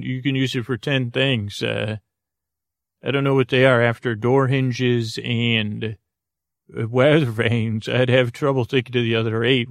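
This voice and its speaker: Creaky-voiced man rambling, dry close sound. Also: medium pitch, no echo. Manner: hesitant, halting, repeats and circles back on words; musing, asks themselves questions. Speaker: podcast host. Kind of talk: rambling, meandering bedtime podcast monologue.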